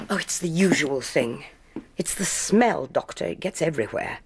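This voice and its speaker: Long-suffering english landlady in a radio play, animated, fretting politely, faint hiss. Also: low voice, normal speed. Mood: fretful. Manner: polite, complaining, concerned. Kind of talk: British radio drama acting.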